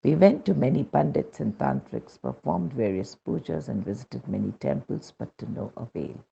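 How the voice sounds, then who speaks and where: A man with an Indian accent reads a scripted devotional testimony in a measured, solemn manner, on a dull recording. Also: medium pitch, very animated, vocal fry, mellow, breathy edge.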